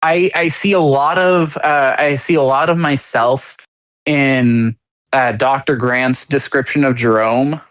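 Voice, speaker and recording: Male podcast co-host, hesitant and restart-heavy, blunt humor, mid pitch, dry close sound. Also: fairly even intonation, a bit bright, no echo. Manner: halting, many restarts and fillers; blunt, self-deprecating humor. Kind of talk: casual conversation.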